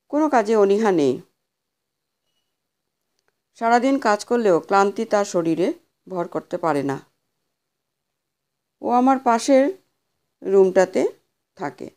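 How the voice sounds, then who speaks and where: Mellow-voiced woman narrating a horror story. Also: medium pitch.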